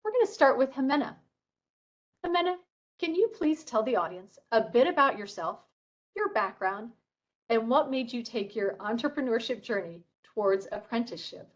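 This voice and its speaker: A woman opens a panel session with formal, upbeat remarks. Her voice sits a little high, and she reads smoothly from prepared text. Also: sing-song, normal speed. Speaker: moderator. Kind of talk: formal event introduction.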